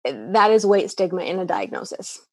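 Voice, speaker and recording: A woman's voice: fairly low pitch, sing-song, moderate pace, no echo. A woman clinician answering interview questions earnestly, with frequent restarts and fillers. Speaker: eating disorder clinician, guest.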